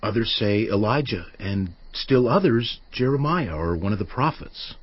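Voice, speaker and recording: Husky, low-voiced disciple. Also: male voice, lively intonation, normal speed, no room sound, highs cut off. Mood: matter-of-fact. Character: male disciple reporting to his teacher. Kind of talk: dramatized audio Bible acting.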